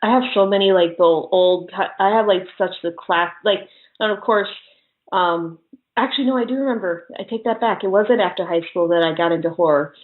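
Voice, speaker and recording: A woman's voice: mid-range voice, fairly quick. Giggly woman on a phone-like line. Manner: giggly, often laughing as she talks. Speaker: interview guest. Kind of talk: casual chat, telling personal anecdotes. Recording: muffled phone-like call audio.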